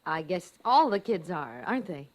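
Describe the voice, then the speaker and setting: A woman's voice: fairly low pitch, big pitch swings, moderate pace, a little mellow. An actress playing an indulgent, motherly housewife in a vintage radio drama. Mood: gently probing. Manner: motherly, indulgent, hesitant at times. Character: indulgent, thoughtful housewife mother, gently amused. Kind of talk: 1950s radio drama acting. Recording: vintage old-time radio recording.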